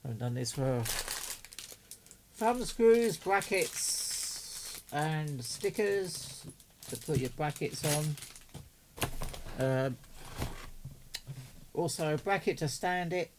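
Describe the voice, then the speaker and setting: Slow-talking man chatting over background noise. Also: on the high side, animated. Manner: chatty, doting, muttering to himself as he works. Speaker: radio hobbyist vlogger. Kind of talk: casual unboxing commentary.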